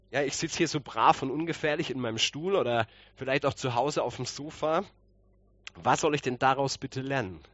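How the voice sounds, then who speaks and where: A man's voice: mid-range voice, a bit bright, no echo, highs cut off. Male preacher, a touch congested from a cold. Conversational, explanatory delivery with rhetorical questions, recorded dry. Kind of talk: conversational sermon.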